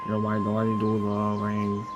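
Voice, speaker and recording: A man's voice: lowish voice, monotone, fairly quick, lo-fi sound, over loud music. A man giving informal, rambling commentary while streaming a game. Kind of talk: casual gameplay commentary.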